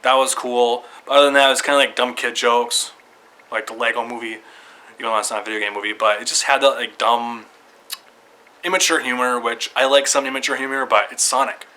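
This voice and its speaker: Bright-voiced man rambling to viewers. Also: medium pitch, fairly quick. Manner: excitable fan talk, repetitive, loosely structured. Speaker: video creator giving opinions. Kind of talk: casual rambling commentary to viewers.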